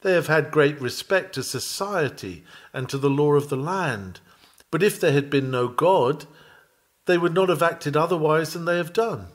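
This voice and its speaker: A man reading an old Victorian sermon aloud in an earnest, measured, preacherly manner. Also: fairly high pitch, animated. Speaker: sermon reader.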